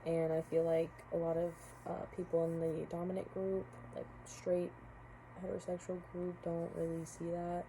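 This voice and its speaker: A woman's voice: fairly low pitch, monotone, normal speed, noisy background. A young woman, a college student, giving a casual spoken class reflection, hesitant with many fillers and restarts. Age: young adult.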